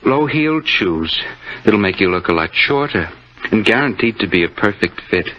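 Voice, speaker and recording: Actor as a low-voiced, swinging, gloating killer. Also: male voice, moderate pace, natural voice. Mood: impatient, menacing. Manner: gloating, stammering excitedly, needling. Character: boastful, menacing killer on the run, a failed newspaperman. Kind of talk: old-time radio suspense acting. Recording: muffled, noisy old radio drama recording.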